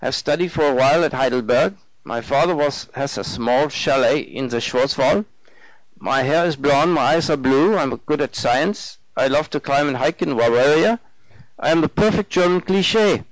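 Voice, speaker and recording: Male narrator as young German man, nervous, earnest, effusive, polite stilted phrasing, raised pitch, audiobook dialogue. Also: moderate pace, no room sound, close to an everyday voice, poor audio. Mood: eager, effusive. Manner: effusive, formally polite, slightly stilted English.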